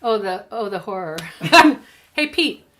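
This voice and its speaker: A woman co-hosting a live music stream, singing and chatting casually, a little hoarse, sharing one microphone. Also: mid-range voice, lively intonation, slow, crowd noise. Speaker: singer and co-host of a music livestream. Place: home livestream setup. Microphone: shared stand microphone. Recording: loud music behind the voice.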